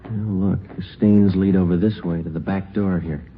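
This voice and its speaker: An actor playing a terse, steady police detective who gives clipped, deadpan lines in a 1950s radio drama. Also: male voice, low voice, normal speed, slight background noise. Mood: terse, intent. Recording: narrow-band old radio recording with music.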